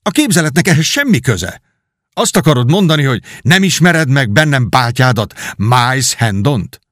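A man reading aloud and voicing an excited young knight who returns home and talks without pause. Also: medium pitch, dry recording, studio quality, pitched above the performer's own voice. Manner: eager, exclamatory, talkative. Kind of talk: audiobook reading.

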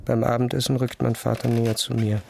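A man reading first-person literary narration in an introspective, measured manner. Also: medium pitch, flat delivery, creaky, a little mellow, a little hiss or hum. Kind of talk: literary scripted narration, audio drama. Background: music.

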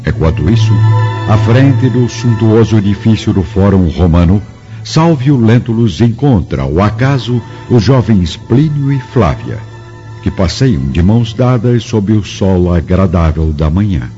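A man with a fairly low voice narrates a radio drama in solemn, literary phrasing. The sound is slightly muffled, with clear music underneath. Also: expressive, a little slow, mellow. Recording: muffled studio recording with music.

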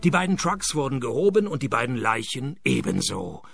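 A gravelly, sarcastic male private eye in a radio play. He delivers dry wit with deadpan weariness, yet his pitch swings widely over background music. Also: fairly quick. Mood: dry narration. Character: sardonic private detective, world-weary and dry-witted. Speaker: detective who also narrates. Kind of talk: radio play dialogue and first-person narration.

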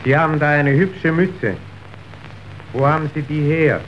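A man's voice: on the high side, unhurried, lightly acted. An actor playing a cynical, worldly businessman in a radio drama, speaking in a pointed, declamatory way. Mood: cool, probing. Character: cynical, worldly businessman, coolly demonstrating human wickedness. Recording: dull, noisy old radio recording.

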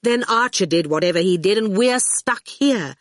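A haughty, ageless beauty who rules her town voiced by a woman audiobook reader. She sounds gracious on top and cold underneath, with lively swings of pitch in a dry recording. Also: normal speed, dry recording, natural voice. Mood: pouting, breezy. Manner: pouting, cold then gracious. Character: haughty, glamorous, ageless woman ruler, sweetly condescending, icily angry. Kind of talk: audiobook character voice.

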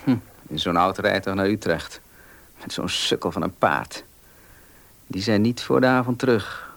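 Casting: muttering male cynic in radio drama, gravelly, slightly noisy recording. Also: on the low side, moderate pace, a bit bright. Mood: scornful. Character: grumbling, scornful male onlooker. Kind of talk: Dutch radio play acting.

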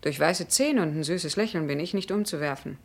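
A woman's voice: sits low, brisk, creaky. An actress in a German radio drama playing a frank, wistful young woman, direct and teasing in her manner. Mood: wistful, challenging.

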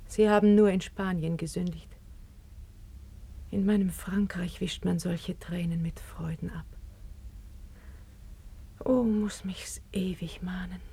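A woman's voice: lowish voice, heavily acted. An actress playing a noble, dignified young queen in a classical verse radio play of the 1950s, speaking solemnly and declaiming. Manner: declamatory, hushed and breathy, solemn. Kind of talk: 1950s German stage-style radio acting of classical verse.

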